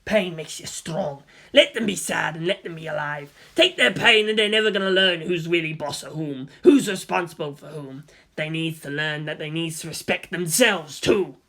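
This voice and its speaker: Narrator doing a brutish orc, slow drawl, blunt, crude, tough mentor, fantasy story. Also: mid-range voice, gravelly, fairly bright tone. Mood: blunt lecturing.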